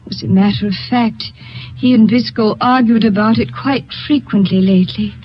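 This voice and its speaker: A woman's voice: on the high side, fairly even intonation, normal speed, lightly acted. An actress playing a nervous European émigré woman. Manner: formal, hesitant, carefully spoken. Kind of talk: 1950s radio drama acting. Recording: dull old radio broadcast.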